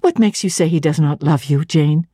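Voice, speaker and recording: A woman voicing a kind, affectionate young woman who gently teases her friend. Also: low-pitched, normal speed, slightly rough, no echo, studio quality. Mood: fond, incredulous.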